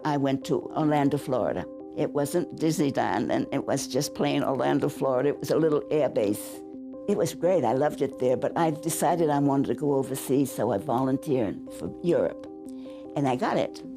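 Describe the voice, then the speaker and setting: Older New England woman, low gravelly voice. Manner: chatty storytelling with asides. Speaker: veteran interviewee. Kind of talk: reminiscing in an interview.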